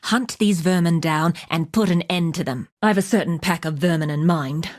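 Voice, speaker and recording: An actress playing a tough, wry wilderness huntress in a video game, speaking in a measured, dry, matter-of-fact way as she hands out hunting quests. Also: fairly low pitch, a little mellow, lightly acted. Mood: grim, determined. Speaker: game character voice actor.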